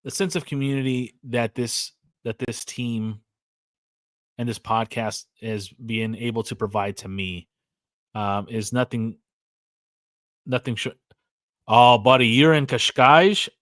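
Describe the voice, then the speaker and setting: Man, 40s, American, rambling podcast host, filler-heavy talk, average pitch, dry sound. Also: steady tone, slow pace, long pauses, fairly bright tone, no room sound. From California, USA. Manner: rambling, lots of fillers and restarts, reads chat aloud. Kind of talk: casual livestream chat.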